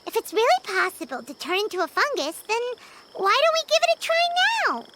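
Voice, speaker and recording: A woman voicing a small, excitable fairy companion who talks in bouncy, exclamatory bursts, in game audio with music. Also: very high voice, a little fast, heavy background noise. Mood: eager.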